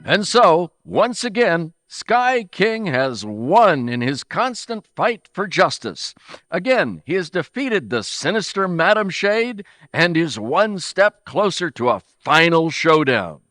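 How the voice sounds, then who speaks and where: Dramatic 1940s announcer played by a man, polished, animated, slightly slow, dry close sound. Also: fairly high pitch, dry recording, exaggerated voice.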